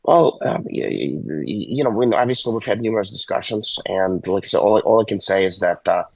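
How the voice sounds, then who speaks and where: A man who is a hockey agent, hoarse and sick, calling in from a hospital and talking haltingly with many fillers. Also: lowish voice, dry recording, poor audio. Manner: halting, many uhs and restarts. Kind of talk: phone-in interview. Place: hospital room. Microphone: phone call.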